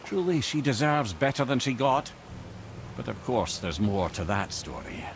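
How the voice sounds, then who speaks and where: A man's voice: mid-range voice, very animated, moderate pace, creaky, noisy background. An actor playing a witty old male advisor and storyteller in a video game, eloquent and wry in his wordy delivery. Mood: storytelling. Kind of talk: video game cutscene acting. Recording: loud music behind the voice.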